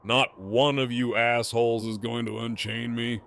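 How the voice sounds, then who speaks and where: An actor playing a gruff, mocking, foul-mouthed male prisoner in an audio drama. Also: medium pitch, little pitch movement, measured pace, a bit bright. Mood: scornful. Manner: mocking, drawling sarcasm. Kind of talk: fan audio drama acting.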